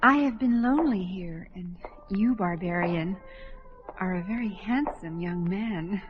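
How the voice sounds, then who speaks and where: A woman's voice: mid-range voice, measured pace, close to an everyday voice. A woman playing a coquettish desert queen. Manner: flirtatious, prim. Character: vain, coquettish female queen of a desert city. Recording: dull lo-fi radio play with music.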